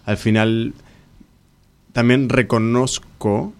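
A man's voice: mid-range voice, steady tone, slow, a little mellow, clear, resonant tone. A young man from Uruguay with a mixed Uruguayan, Argentine and Mexican Spanish accent, an actor and musician speaking in a casual interview, rambling and correcting himself as he goes. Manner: rambling, self-correcting, earnest.

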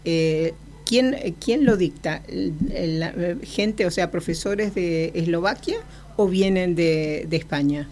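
Rioplatense woman interviewer, lowish voice, curious and quick, faint background hiss. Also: darkish tone. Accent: Rioplatense Spanish. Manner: curious, quick follow-up questions. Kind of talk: casual interview.

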